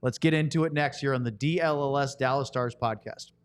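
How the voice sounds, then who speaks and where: A man's voice: medium pitch, fairly quick, smooth. A man hosting a sports podcast, moving between conversational talk and sponsor reads.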